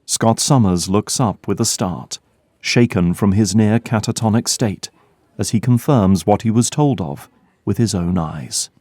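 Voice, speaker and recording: A man gives scripted narration for an audio drama. His voice is low and very mellow, with a dry, studio-like sound. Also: unhurried, no echo.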